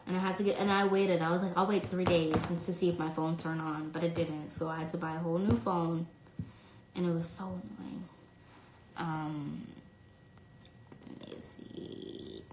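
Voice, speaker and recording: A woman's voice: lowish voice, level tone, normal speed, reverberant, noisy background. A young woman from New York with a light New York accent, chatting casually while answering fan questions on a phone livestream, full of likes and ums. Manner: chatty, lots of "like" and "um", reads comments aloud. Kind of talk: casual live Q&A. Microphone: phone at arm's length.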